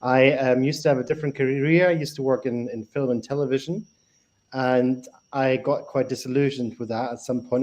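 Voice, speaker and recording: A man in his thirties from Germany, introducing himself as a student on a video call panel, relaxed with a few hesitations. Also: medium pitch. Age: 30s.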